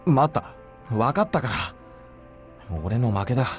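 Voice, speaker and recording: A man's voice: mid-range voice, sing-song, a little fast, natural voice. An actor voicing a caring, easygoing young man, the plainspoken, informal protagonist of a visual novel. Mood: flustered, conceding. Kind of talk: Japanese visual novel voice acting. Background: game music.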